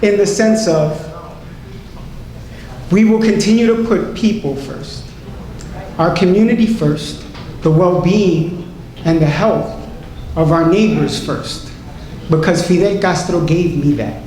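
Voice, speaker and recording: A woman's voice: lowish voice, dark tone. Echoey woman giving an impassioned speech. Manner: emphatic, deliberate phrasing with repetition. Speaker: doctor, graduate giving a tribute speech. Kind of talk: impassioned public political speech. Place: large indoor hall, tribute event. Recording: very echoey hall, faint noise.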